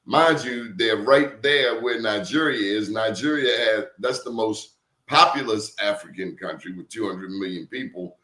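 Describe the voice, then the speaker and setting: A man's voice: lowish voice, a little slow, bright, forceful tone, reverberant, low-quality recording. A man hosting an interview show, telling stories in an emphatic, preachy way. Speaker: show host. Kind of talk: casual interview talk.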